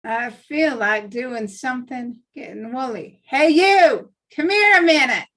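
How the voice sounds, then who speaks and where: A woman's voice: high voice, animated, measured pace, a bit bright, far from the mic, exaggerated voice. A woman playing a menacing, rowdy male outlaw gang leader in a live western table read, heard from off the mic. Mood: rowdy, commanding.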